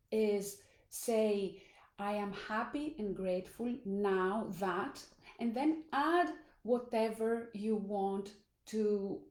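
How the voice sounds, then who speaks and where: Slow, mellow-voiced Greek woman coaching. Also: mid-range voice, reverberant. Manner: earnest and deliberate, with small restarts. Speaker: life coach. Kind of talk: motivational talk to camera. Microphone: phone or camera in a live stream.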